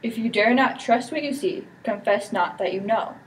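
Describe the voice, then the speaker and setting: A woman's voice: mid-range voice, normal speed, natural voice. Girl playing a scheming villain, recorded from afar. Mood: coldly accusing. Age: teenager. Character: scheming, slanderous villain, the prince's brother. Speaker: student performer. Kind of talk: amateur Shakespeare performance. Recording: distant mic with background noise.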